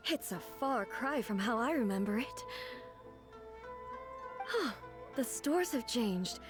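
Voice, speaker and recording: A woman's voice: a little high, lively intonation, slow. A woman playing a composed, aloof immortal ruler in a video game, with formal, matter-of-fact wording. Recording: game music under the voice.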